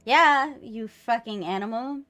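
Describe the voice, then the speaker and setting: A woman chatting casually on a live game stream, playful, teasing and quick to swear, with music behind her. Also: a little high, bright, forceful tone. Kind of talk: casual banter while live streaming a visual novel.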